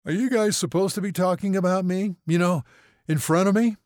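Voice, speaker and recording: A man's voice: high-pitched, brisk, darkish tone, no echo, studio quality, voice pushed up, lightly acted. A man voicing an injured young man who is dazed, slurred and cheekily woozy on painkillers, in an audiobook. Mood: groggy. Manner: slurred, loopy. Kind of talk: audiobook character acting.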